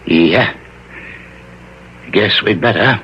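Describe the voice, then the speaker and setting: An actor playing a kindly, remorseful old sea captain, halting and rustic, in a radio drama. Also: male voice, lowish voice. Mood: resigned. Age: older. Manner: folksy, halting, rustic speech. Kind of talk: 1960s radio drama acting. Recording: muffled, noisy old radio recording.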